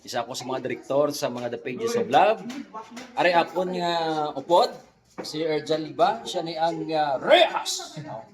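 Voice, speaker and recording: A man's voice: a little high, big pitch swings, normal speed. A man with a Western Visayan Filipino accent chatting casually and jokingly as a vlog host, often tacking on tag questions. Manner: jokey, frequent tag questions. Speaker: vlog host, radio drama director. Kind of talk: casual vlog chat introducing colleagues. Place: indoor radio recording room. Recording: echoey room with people chattering.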